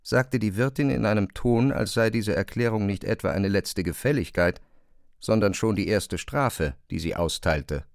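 A man's voice: lowish voice, moderate pace, vocal fry. A man narrating literary fiction in a scripted audiobook style.

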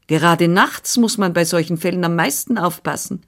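A firm, managing older housekeeper who talks in brisk instructions, voiced by a woman reading a storybook. Her voice sits low and steady. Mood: bossy.

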